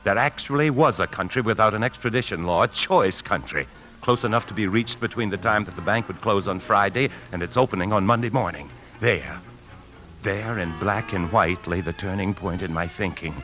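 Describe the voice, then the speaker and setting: An American actor playing a scheming, secretive married bank man in a 1950s radio drama, brooding and deliberate. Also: lowish voice, a bit bright, faint music behind, close to an everyday voice. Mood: brooding, obsessed. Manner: brooding, deliberate, hesitant when lying. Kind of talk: 1950s radio drama acting and confessional narration. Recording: 1950s radio broadcast recording.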